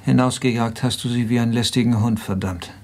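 An actor playing a bitter, mocking drinker in a radio drama, with halting, sardonic delivery. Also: male voice, medium pitch, steady tone, a little mellow, natural voice. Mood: bitter, scornful. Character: bitter, mocking hard-drinking man in a sour relationship. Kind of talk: naturalistic radio drama acting.

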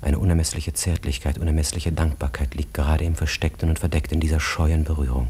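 An actor as narrator of a German radio drama, telling the story in a measured, intimate, expressive literary style. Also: male voice, extremely low pitch, a little hiss or hum. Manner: measured, expressive, savouring details.

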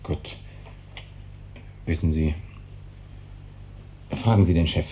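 An actor playing an evasive, hesitant hospital doctor in a 1950s German radio drama, on an old noisy recording. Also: male voice, extremely low pitch, slow pace, mellow. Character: evasive, brisk hospital doctor, uneasy with hard truths.

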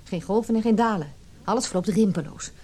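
An actress playing a conflicted but resolute forty-year-old wife in a radio drama, earnest and measured. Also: female voice, mid-range voice, mellow, lightly acted. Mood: bitter, resolute. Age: middle-aged. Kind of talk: radio drama acting with reflective narration.

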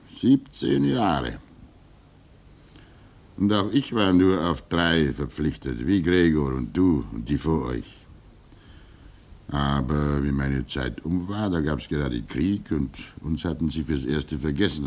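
A slow old polar keeper. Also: male voice, very deep voice, lively intonation, heavily acted. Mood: reflective. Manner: slow, wistful, with soft laughs. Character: old male polar station keeper, weary, pious. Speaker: actor. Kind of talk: radio play acting.

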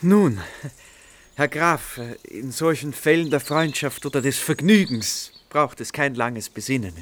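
A man's voice: medium pitch, measured pace, natural voice. An actor playing a sociable male composer in a radio play, speaking with formal politeness. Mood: gracious, cheerful. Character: sociable, courteous male composer accepting hospitality. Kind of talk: German radio play acting.

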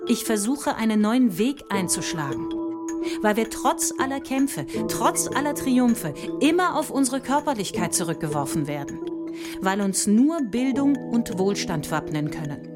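An actress playing a gravely ill, defiant mother and scholar in a theatrical radio drama, speaking with deliberate intensity. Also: a little high, big pitch swings. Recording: loud music behind the voice. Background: prominent music.

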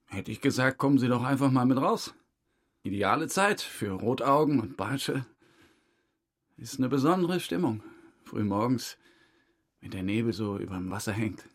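A steady, folksy country man in middle age in a crime drama. He speaks at an ordinary male pitch, in short colloquial sentences with an amiable manner. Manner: unhurried, homespun, clipped colloquial sentences. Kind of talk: naturalistic crime radio drama dialogue.

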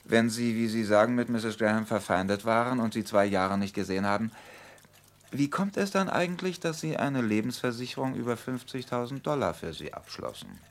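Actor playing a shrewd, animated police detective. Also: male voice, mid-range voice, brisk, natural voice. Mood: probing. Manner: probing, commanding, dryly polite. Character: shrewd, insistent police detective, adult man. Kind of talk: German radio crime drama acting. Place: radio drama studio.

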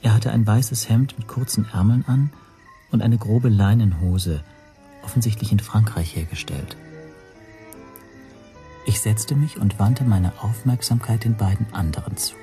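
An actor playing an observant, nervous hotel guest who narrates his own story in a radio drama, measured and precise. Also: on the low side, dark tone, soft background music. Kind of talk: scripted first-person radio drama narration.

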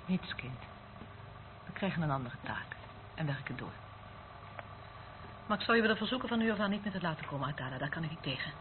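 A man's voice: fairly high pitch, animated, fast, long pauses, lightly acted. A man in a radio play acting a cold, authoritative official in charge of secret tapes. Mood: stern. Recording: noisy, tinny old radio recording.

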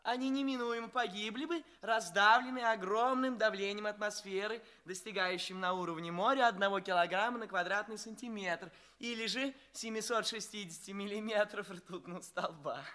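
High-voiced cocksure young alien student. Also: male voice, moderate pace, few pauses, pushed and bright. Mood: earnest, confident. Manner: declamatory, reciting precisely like an exam answer. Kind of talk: theatrical audio play acting.

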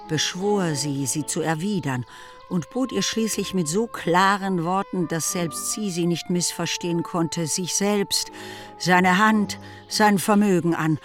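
A woman narrating a literary radio play as scripted storytelling. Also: lowish voice.

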